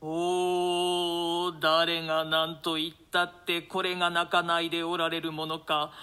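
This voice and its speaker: Man playing high-voiced despairing queen, clear and sharp. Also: monotone, unhurried. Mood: desperate grief. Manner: declamatory, anguished, theatrical. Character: frantic, despairing widowed queen. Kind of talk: dramatic reading of a classic stage play.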